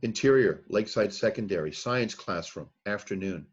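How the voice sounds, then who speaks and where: Casual: low husky guy reading out scene directions, muffled dull recording. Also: normal speed. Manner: plain, steady reading of scene headings and action. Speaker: narrator of a screenplay reading. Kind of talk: reading stage directions aloud.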